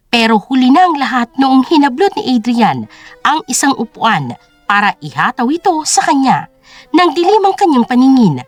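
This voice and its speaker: A woman narrating a scripted story as a dramatic audio drama. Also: high-pitched.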